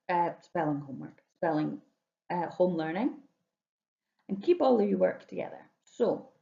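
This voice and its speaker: A woman's voice: fairly low pitch, creaky, darkish tone. Lady teacher explaining schoolwork step by step, asks little check questions, roomy echo and dull lo-fi sound. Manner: instructional, checking understanding with questions. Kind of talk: explaining home learning tasks to pupils.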